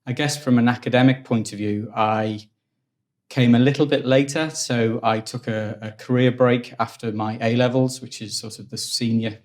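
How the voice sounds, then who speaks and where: A man in his forties from Liverpool with a Liverpool accent, a guest telling stories in a relaxed interview and often repeating words while he thinks. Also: mid-range voice, normal speed. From Liverpool, England. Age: 40s. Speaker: guest, engineering executive. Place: office at company headquarters.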